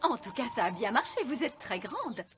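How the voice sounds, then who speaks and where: An actress voicing a self-assured, mischievous fairy nanny in a children's cartoon, breezy and boastful. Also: female voice, on the high side, big pitch swings, normal speed, fairly bright tone, a little hiss or hum. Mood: unbothered. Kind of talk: animated children's cartoon acting. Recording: background music under the voice.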